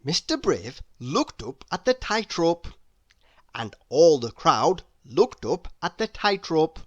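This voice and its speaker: A woman reading a children's storybook aloud in an expressive narrating style. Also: low-pitched, takes it slowly, no echo, highs cut off.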